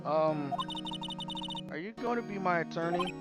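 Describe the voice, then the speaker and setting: A man acts out a grieving young woman in a mystery game. She is fragile and timid, stammering in halting phrases with a lively, swinging pitch. Loud music runs underneath. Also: highs cut off. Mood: timid, subdued. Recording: music behind the voice.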